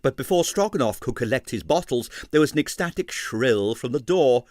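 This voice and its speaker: A man reading an abridged novel aloud with expressive narration. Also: medium pitch, fairly quick, no echo, studio quality. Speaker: audiobook reader.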